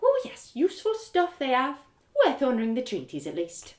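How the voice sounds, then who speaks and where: A woman's voice: sits high, wildly swooping pitch, normal speed, pitched above the performer's own voice. A woman voicing a motherly, gossipy innkeeper with folksy, effusive speech for an audio story. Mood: merry gossip. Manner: folksy, effusive, rustic turns of phrase. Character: gossipy motherly innkeeper woman, merry but quick to outrage.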